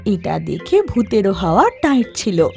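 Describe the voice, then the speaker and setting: A female narrator tells a scripted cartoon story. Her voice has a middle pitch and moves with lively intonation, while music plays softly behind her. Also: measured pace, gravelly. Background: soft background music.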